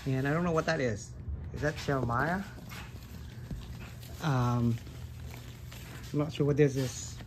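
A man's voice: medium pitch, unhurried, pauses often, a little hiss or hum, low-quality recording. A man casually showing how to hand-pollinate plants in a basement grow room, talking loosely with lots of "like" and "um" while filming with a handheld phone. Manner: loose, chatty, fills with "like" and "um". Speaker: gardening vlogger. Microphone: handheld phone camera, filming his hands.

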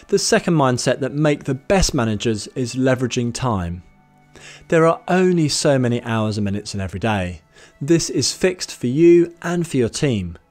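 A British man in his thirties, a management trainer, speaking to camera in a studio in a measured, instructive way and taking the points in order. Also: medium pitch, darkish tone. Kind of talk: scripted presenter talk to camera. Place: studio with plain grey backdrop. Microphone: studio mic on boom arm in front.

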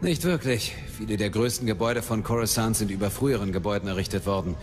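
An actor playing a brisk, authoritative military captain with clipped delivery in a radio drama. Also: male voice, fairly low pitch, music in the background, lightly acted. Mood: commanding. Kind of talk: German radio drama acting. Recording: long hall-like reverb.

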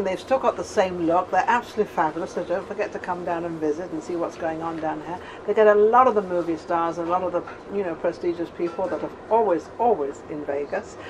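A woman's voice: fairly low pitch, a little mellow, slight background noise, low-quality recording. Chatty English woman hosting a TV interview. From England. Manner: chatty, rambling, laughs often. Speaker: TV host. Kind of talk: casual TV interview. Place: studio set with sofa and flowers.

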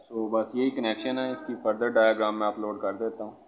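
Dull lo-fi recording, low-voiced man explaining. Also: little pitch movement, brisk. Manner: informal step-by-step, repeatedly checking with "okay". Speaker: electrician presenter. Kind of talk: hands-on tutorial explanation. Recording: dull lo-fi phone audio, background noise.

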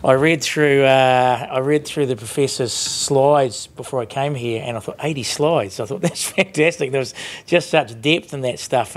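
A man in his fifties with a New Zealand accent gives an informal, conversational and rambling closing speech at a podium as an industry leader. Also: medium pitch. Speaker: industry leader giving closing conference address. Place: conference room with seated audience, indoors. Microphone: lectern microphones.

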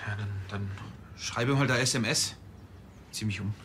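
A man plays a worn-out, grumpy cop with a fairly low voice. It is TV crime comedy acting, with faint background noise. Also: moderate pace, a bit bright, lightly acted. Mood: irritated. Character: grumpy, worn-out male police officer.

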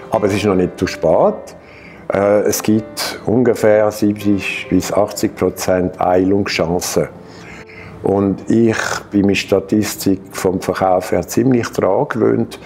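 A man in his sixties from Geneva with a French-speaking Swiss accent, French his first language, telling his own story to camera in a halting way with many hesitations and restarts. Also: on the low side, warm tone. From Geneva, Switzerland. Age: 60s. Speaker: patient sharing his experience. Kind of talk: personal account to camera. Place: bright studio room, indoors.

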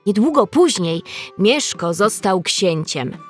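A woman's voice: medium pitch, very animated, slow, soft background music. Woman narrating a historical radio play.

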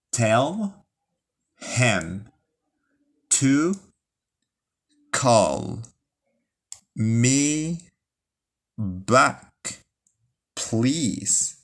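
A man who speaks as his teaches English phrases, reading each one slowly and then word by word. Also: medium pitch, a bit bright. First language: Spanish. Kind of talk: bilingual language lesson, slow drilled repetition.

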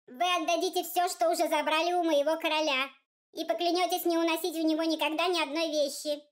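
A woman voicing a tiny, self-important male envoy from a storybook, with a piercing squeaky voice and formal, rapid patter. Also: fairly even intonation. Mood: conciliatory, bargaining. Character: tiny pompous bearded male envoy of the dream king. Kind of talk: storybook character voice.

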